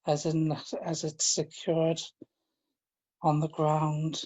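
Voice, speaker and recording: Guy doing a guided meditation, really slow and flat, gentle, kinda halting. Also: fairly high pitch, lo-fi sound. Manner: gentle, halting, informal with repeated phrases. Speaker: guided relaxation leader. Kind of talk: guided relaxation, meditation instruction.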